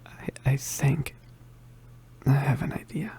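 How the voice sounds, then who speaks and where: A performer voicing an injured, poisoned young male witch in an ASMR roleplay, weak and apologetic, mostly whispering in halting, pained breaths. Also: medium pitch, mellow. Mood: pained, weary. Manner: halting, pained, with sighs and throat clearing.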